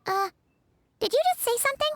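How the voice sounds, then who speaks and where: A woman voicing a small, excitable, chatty childlike fairy companion with a squeaky voice in an anime game. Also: fairly quick, a little hiss or hum. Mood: startled, curious. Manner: refers to self in third person, chatty, flustered.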